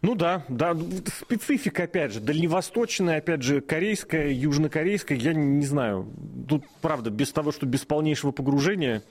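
Male co-host, casual discussion, a bit higher-pitched, searches for words mid-sentence. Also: expressive, moderate pace, few pauses. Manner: thinks aloud with fillers and restarts. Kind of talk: casual talk show discussion.

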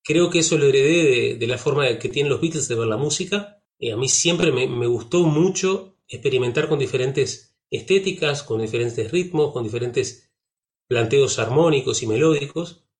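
A man's voice: medium pitch, normal speed. Rioplatense-accented man, musician guest, reflective, easygoing chat. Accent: Rioplatense Spanish. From Uruguay. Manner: reflective, uses many fillers and tag questions. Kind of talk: relaxed conversational interview.

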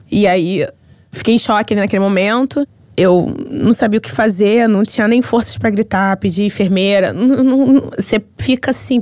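A woman from Brazil with a Brazilian accent, telling a personal story in an emotional, earnest and detailed way, halting at heavy moments. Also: on the low side, a little mellow, no echo, clean studio sound.

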